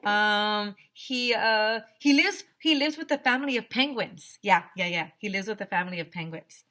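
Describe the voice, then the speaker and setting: A woman's voice: mid-range voice, expressive, normal speed, bright, forceful tone. A woman voicing a young schoolboy in a storybook, nervously stammering through excuses. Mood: nervous, evasive. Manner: stammering, hesitant, improvising excuses. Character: young schoolboy nervously fibbing to his principal. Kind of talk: children's chapter book read-aloud.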